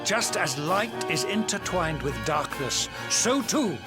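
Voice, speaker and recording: An actor playing a haughty, smoothly menacing older king in a video game cutscene, speaking in a formal, theatrical manner. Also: on the high side, very animated, slow. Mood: stern denunciation. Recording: loud music behind the voice.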